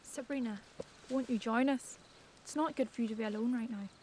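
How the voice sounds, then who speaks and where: A woman's voice: a little high, brisk, darkish tone, a little hiss or hum, natural voice. A woman with a Northern Irish accent playing an urgent young survivor who takes charge, in an audio drama. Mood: gentle.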